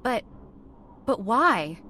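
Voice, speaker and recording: Woman acting a high-voiced, distraught young heiress. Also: sing-song, very slow, sharp tone, a little hiss or hum, exaggerated voice. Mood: shocked. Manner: dramatic, disbelieving, questioning. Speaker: actor in audio horror drama. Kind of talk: old-time radio horror drama acting.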